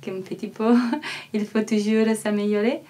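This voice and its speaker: A woman in her twenties with an Italian accent, an exchange student speaking shyly with many pauses. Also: mid-range voice, flat delivery, mellow. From Italy. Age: 20s. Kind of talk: testimonial interview.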